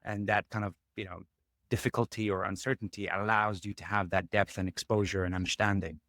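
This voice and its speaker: A man's voice: lowish voice, steady tone, fairly quick, a bit bright, no echo, clean studio sound. A man hosting an interview podcast, musing thoughtfully, often with hesitant restarts. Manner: thoughtful, hesitant with repeated starts. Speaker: podcast host. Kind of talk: conversational interview.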